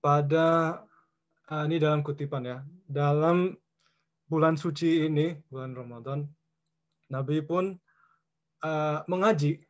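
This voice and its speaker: A man from California giving an informal Islamic lecture as a guest speaker, mixing in English words and pausing often mid-sentence in short phrases. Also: fairly even intonation. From California, United States. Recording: dull, muffled low-fidelity audio.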